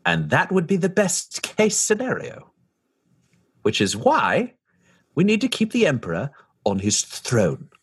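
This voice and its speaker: A man with a husky voice role-playing in a tabletop game, animated and sardonic. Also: on the high side, unhurried. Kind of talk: in-character role-play.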